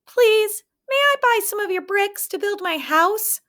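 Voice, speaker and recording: Storybook piglet: woman voicing a small excitable pig, very high and squeaky. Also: a little slow, exaggerated voice. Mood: polite. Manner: squeaky, crying out.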